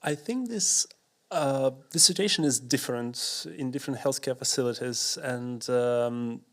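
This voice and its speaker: A man answering a question on a panel in a measured way, with frequent pauses and restarts. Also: medium pitch. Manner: measured, many fillers and restarts. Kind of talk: panel discussion.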